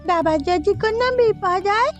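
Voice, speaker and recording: A woman's voice: very high-pitched, normal speed, faint music behind. A woman voicing a worried, pleading young village daughter in a cartoon. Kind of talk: Bengali moral-tale cartoon dubbing.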